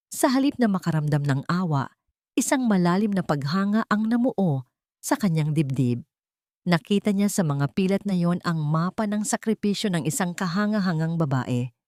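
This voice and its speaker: A woman's voice: on the low side, a little mellow, dry recording, clean studio sound. A woman with a Filipino accent reading a dramatic scripted love story as narrator.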